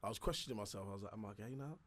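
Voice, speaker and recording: A young man talks bluntly and cockily, making joking boasts. His voice is raspy and a touch hoarse today, pitched fairly low. A faint hum of background noise sits under it. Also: racing. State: slightly hoarse. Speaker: podcast co-host. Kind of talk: casual chat.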